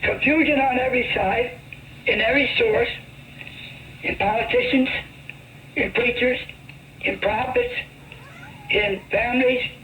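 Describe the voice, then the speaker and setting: A woman's voice: a little high, unhurried, long pauses, fairly bright tone, poor audio. A woman delivering a religious sermon on a shortwave radio broadcast. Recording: shortwave radio with faint noise.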